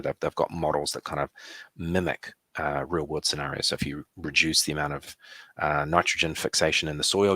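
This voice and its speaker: A man with a New Zealand accent giving a conference presentation, relaxed, with many fillers and restarts. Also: very deep voice, slightly rough, no echo.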